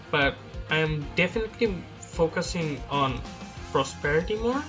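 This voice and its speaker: A man giving casual, self-deprecating speculation about a video game, hedging often with filler words. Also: a little high. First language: not Chinese. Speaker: gaming YouTuber. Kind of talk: speculative game commentary over slides. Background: background music.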